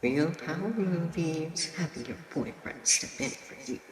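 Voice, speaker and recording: Cocky male demon for audio roleplay, acted out, smug and quick to argue, mid pitch, slightly distant, faintly noisy recording. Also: expressive, crowd noise. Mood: smug teasing. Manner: smug, taunting, argumentative. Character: cocky, teasing, hot-headed male demon. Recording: distant mic with faint background noise.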